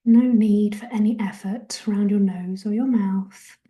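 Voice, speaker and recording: Very slow, soft, mellow woman guiding relaxation. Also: medium pitch, steady tone. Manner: soft, breathy, half-whispered, gentle. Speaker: guided relaxation and meditation host. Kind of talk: guided meditation, soothing body scan instruction.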